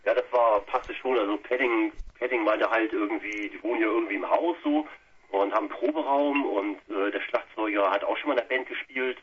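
A man from Lower Saxony, a band guitarist giving a rambling, colloquial interview by phone. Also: fairly quick. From Lower Saxony, Germany. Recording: muffled, noisy telephone line on radio.

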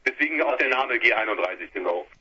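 A man from Hamburg with a rough, husky voice, chatting casually as a band's guitarist on the phone. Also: medium pitch, lively intonation, fast talker, sharp tone. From Hamburg, Germany. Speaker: guitarist and band leader. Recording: muffled telephone interview on radio.

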